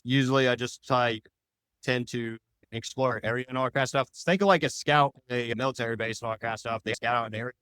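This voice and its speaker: A man's voice: medium pitch, a bit bright, smooth, dry recording, rough recording. A man answering interview questions as a podcast guest, talking in loose, rambling explanations. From United States.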